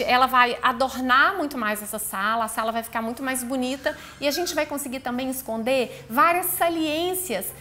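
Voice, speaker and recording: A high, bright female voice with a Brazilian Portuguese accent. She explains a project informally, like an architect walking viewers through her work. Her delivery is chatty and peppered with affectionate diminutives. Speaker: architect presenting her interior design project.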